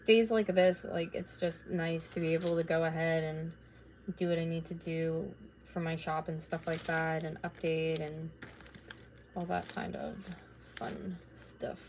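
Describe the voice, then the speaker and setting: A woman in her late twenties, slightly raspy from talking, chatting casually and rambling while she crafts at home on a live stream. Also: deep voice, steady tone, a little slow, darkish tone, a little hiss or hum, poor audio. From North America. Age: late 20s. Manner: rambling, chatty, laid-back with filler words.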